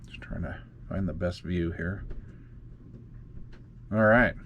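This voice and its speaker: Man, low voice, casual, tinkering and muttering, fillers, makeshift home studio. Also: slow pace, fairly bright tone, slight background noise. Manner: muttering to himself while fiddling with gear, many fillers. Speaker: radio hobby video host. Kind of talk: casual hands-on demonstration.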